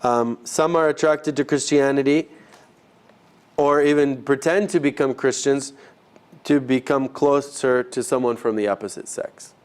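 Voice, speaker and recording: A man leading an informal teaching session for a group, talking in a conversational, halting way and rephrasing as he goes. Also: medium pitch, fairly even intonation, smooth. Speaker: ministry trainer teaching a group. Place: live session with a group present.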